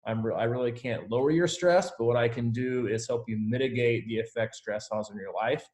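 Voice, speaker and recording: A man's voice: mid-range voice, fairly quick, a little mellow. Guy coach on a group zoom call, chatty and reassuring, explaining stuff to his athletes. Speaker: triathlon coach hosting a team call. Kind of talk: answering questions on a group video call. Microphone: video call.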